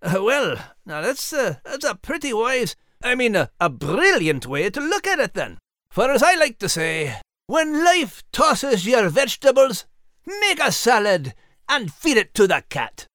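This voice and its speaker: A man's voice: extremely high pitch, big pitch swings, measured pace, pushed and bright, no echo, studio quality, voice pushed up, exaggerated voice. A man voicing a plucky, folksy terrier dog with a Scottish accent in a children's audio story. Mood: earnest.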